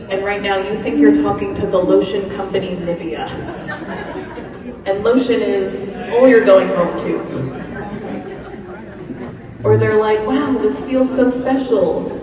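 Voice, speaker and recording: A woman doing a stand-up comedy set with a dry, self-deprecating delivery, at an event. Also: medium pitch. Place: event hall with a crowd. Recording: echoey hall, mic heard from far off.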